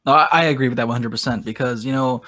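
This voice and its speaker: A man hosting a podcast, with an ordinary mid-pitched voice. He talks in an easygoing, casual way and often throws in "man." The recording is dry and close. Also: very fast, pushed and bright, no room sound. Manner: laid-back, says man a lot. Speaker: podcast host. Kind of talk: casual interview chat.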